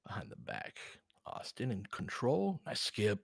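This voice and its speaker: A man's voice: on the low side, wildly swooping pitch, unhurried, vocal fry, breathy edge, no room sound, studio quality. A man hosting a live basketball stream, giving casual, analytical commentary and chatting with viewers in between short reactions to the play.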